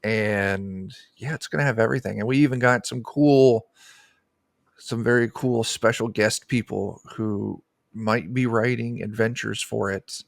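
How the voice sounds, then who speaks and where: A man's voice: fairly low pitch, steady tone, a little slow, creaky, no echo. A man in his thirties or forties, a game designer, explaining casually as an interview guest into a close microphone. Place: indoor room, video call. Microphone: close desk microphone.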